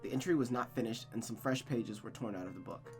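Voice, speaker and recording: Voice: medium pitch, fast talker. A storyteller with a mid-range voice reads a visual novel aloud over music. The delivery is dramatic, with small stumbles, repeated phrases and casual asides.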